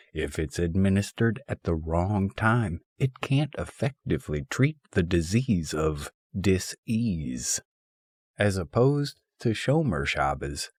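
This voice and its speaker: A man narrating a scripted, humorous essay as an audiobook, reading deliberately and expressively with a wry, mock-scholarly turn. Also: low-pitched, darkish tone, husky, no room sound, studio quality.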